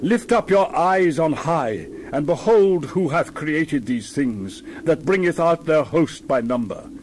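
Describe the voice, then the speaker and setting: A man's voice: a little high, expressive, takes it slowly, darkish tone, slight background noise. A man reading the Bible aloud in a dramatized style, with solemn, theatrical, emphatic declamation. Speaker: Bible reader.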